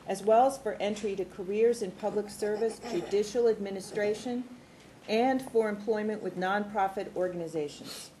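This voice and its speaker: A woman's voice: medium pitch, moderate pace, mellow, slight background noise, low-quality recording. A woman in her sixties reading formal program summaries at a board meeting in a large conference room, on a table microphone. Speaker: university administrator presenting programs.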